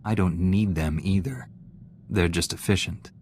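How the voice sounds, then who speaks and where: An actor playing an earnest, straight-laced warrior-monk hero in a science fiction video game, speaking in a measured, serious, dry manner. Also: male voice, sits low, a little mellow, a little hiss or hum. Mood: skeptical. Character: earnest, principled, straight-laced male Jedi knight hero. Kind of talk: video game voice acting, character dialogue.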